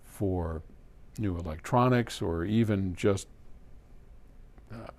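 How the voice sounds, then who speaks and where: A man's voice: deep voice, takes it slowly, darkish tone. A man talking casually and unscripted to camera as a host answering viewer questions, rambling conversationally with asides and self-corrections. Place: trade-in equipment warehouse.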